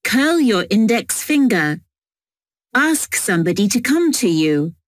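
A woman narrating textbook audio in a slow, clear reading. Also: mid-range voice, big pitch swings, no echo, clean studio sound.

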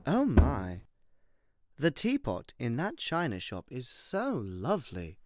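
Casting: female actor for fussy, polite Sylvari lady in fantasy audio drama, slow, swooping delivery, noisy recording. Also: extremely low pitch, huge pitch swings, a little mellow, no echo, clean studio sound, exaggerated voice. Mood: prim, delighted. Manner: polite, flowery, fretful. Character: genteel, fussy, clumsy plant-folk Sylvari lady. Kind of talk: fan audio drama acting. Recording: clearly noisy background.

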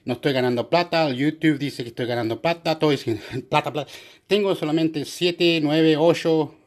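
A man's voice: fairly high pitch, moderate pace. A middle-aged man from Chile rambling casually to a phone camera held close to his face, repeating himself and trailing off. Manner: repetitive, meandering, muttering. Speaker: reaction channel host. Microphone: phone or webcam close to face.

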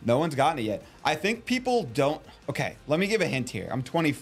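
A man's voice: on the high side, very animated, fast talker, soft background music. A man in his twenties co-hosting a livestream from a studio, teasing and laughing often while he talks into a headset mic. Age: 20s. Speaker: co-host of a livestream. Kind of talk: casual joking banter. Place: studio with a gaming chair.

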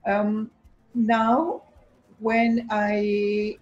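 A woman's voice: mid-range voice, flat delivery, very slow, muffled recording, faint music behind. A woman from Pakistan, English not her first language, speaking as a teacher educator in a thoughtful talk to a webcam from an office, with many fillers and restarts. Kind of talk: reflective talk to camera. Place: office with bookshelves, indoors.

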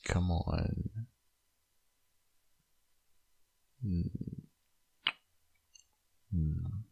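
Very deep, husky young dad, acted by a man. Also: little pitch movement, mellow, highs cut off, full character voice. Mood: tender, hesitant. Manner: hesitant, halting, intimate murmuring delivery. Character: tender, wistful young father still in love with his ex. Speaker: audio roleplay voice actor. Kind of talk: boyfriend ASMR roleplay.

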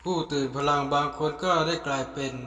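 A man's voice: on the high side, fairly even intonation, fairly quick, sharp tone, a faint crowd. A man reading scripted narration for a fantasy story. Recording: echoey, long reverb.

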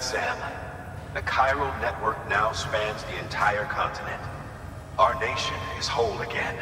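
An actor playing a stern, middle-aged government official giving a measured, emphatic briefing in a game cutscene. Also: male voice, fairly low pitch. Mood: proud, solemn. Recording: echoey hall, distant, music behind.

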